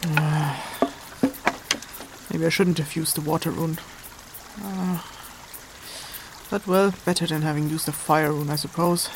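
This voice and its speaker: A woman's voice: sits low, fairly even intonation, background noise. A woman acting a practical, commanding but caring rune-wielding traveller in a fantasy audio drama. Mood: muttering, grumbling. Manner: brisk, commanding.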